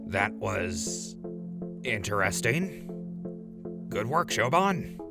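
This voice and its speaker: A man's voice: fairly low pitch, sing-song, drawn-out words, creaky, heavily acted. A man playing an easygoing, friendly wasteland radio host in an audio drama, with a mild-mannered delivery. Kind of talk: post-apocalyptic radio drama acting. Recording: music behind the voice.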